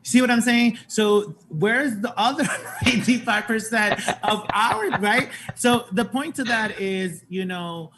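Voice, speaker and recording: A man in his thirties talks earnestly on a home video call, leaning into his points with animated emphasis. His voice sits fairly high for a man. A faint hiss runs underneath. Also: a little slow. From Puerto Rican and Colombian heritage. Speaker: show host on panel. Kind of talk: casual panel chat. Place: home room.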